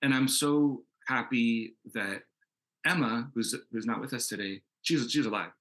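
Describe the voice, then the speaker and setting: A man's voice: medium pitch, measured pace, a bit bright. A man talking casually and enthusiastically as a comic book creator on a panel, rambling a little as he goes. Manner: rambling, enthusiastic, peppered with "like" and "you know". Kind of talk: casual, conversational panel discussion.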